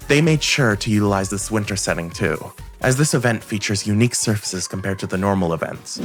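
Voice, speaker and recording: Low-pitched man narrating over loud music. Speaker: video game reviewer and narrator. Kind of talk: scripted review narration.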